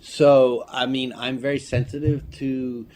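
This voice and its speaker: A man's voice: mid-range voice, level tone, a little slow, a little mellow. A man being interviewed about his film work, talking casually and thoughtfully, often qualifying and questioning what he says. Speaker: filmmaker and actor being interviewed. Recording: clearly noisy room ambience.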